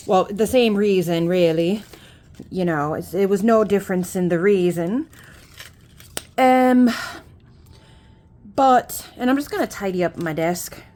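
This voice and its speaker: A woman's voice: lowish voice, darkish tone. A woman putting on a chatty, homely persona with a mock Irish or Scottish lilt, rambling with folksy phrases and many fillers. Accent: put-on Irish or Scottish. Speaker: YouTuber. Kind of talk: casual chat.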